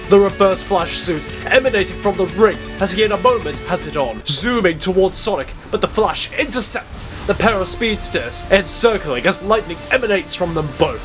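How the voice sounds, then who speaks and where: A man giving dramatic scripted narration as the storyteller of an audio drama. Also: high-pitched.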